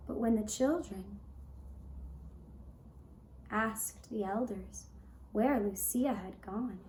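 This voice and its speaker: A woman giving gentle scripted narration of a tale as a puppet show storyteller, soft and deliberate, with long pauses. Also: mid-range voice, reverberant, slight background noise, rough recording.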